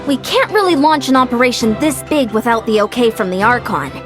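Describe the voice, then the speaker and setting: A woman's voice: fairly high pitch, expressive, a little fast, music in the background, natural voice. A woman voicing an upbeat, composed young female warrior strategist in a video game, polite, firm and businesslike. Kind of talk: video game character acting.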